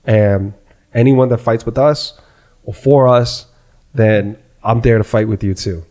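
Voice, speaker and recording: A man hosting a podcast in a casual, excited way, often repeating himself for emphasis. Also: fairly low pitch, a little slow. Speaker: podcast host.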